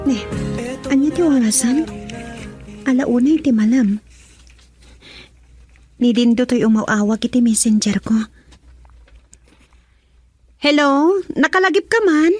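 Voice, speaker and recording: An actress with an Ilocano accent playing a young woman on a phone call, slow and questioning, in a radio drama. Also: high voice, expressive, husky, faint music behind, heavily acted. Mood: sleepy.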